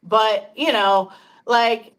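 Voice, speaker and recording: A woman's voice: on the high side, very slow. A woman in her fifties hosting a casual livestream chat, talking frankly and chattily with frequent laughter and some swearing. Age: 50s. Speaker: podcast host.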